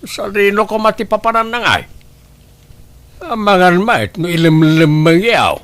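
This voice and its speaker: A man playing a menacing, violent father in a radio drama, with a threatening, drawn-out delivery. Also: high-pitched, a bit bright. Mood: menacing, suspicious. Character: menacing, violent father hunting his runaway child. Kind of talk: Ilocano radio drama acting.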